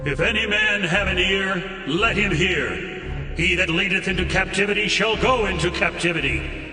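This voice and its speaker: A man proclaiming a passage in a measured way in a dramatized scripture reading. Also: high voice, pushed and bright. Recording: distant, echoey hall with music.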